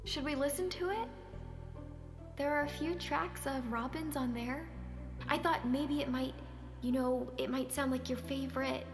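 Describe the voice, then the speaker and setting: A woman's voice: high voice, a little slow. A woman acting a caring, persistent character who coaxes and probes in naturalistic stage-play dialogue, sometimes hesitantly. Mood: hopeful, coaxing. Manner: coaxing, probing, hesitant at times. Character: Sonia, caring, persistent woman nudging a withdrawn man. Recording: roomy sound with music behind.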